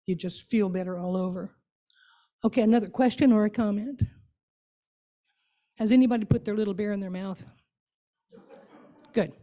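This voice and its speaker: A woman's voice: medium pitch, moderate pace, pauses often, mellow. A woman giving an informal, playful and conversational talk to a group, coaxing them to join in. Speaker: guest speaker leading an interactive church talk.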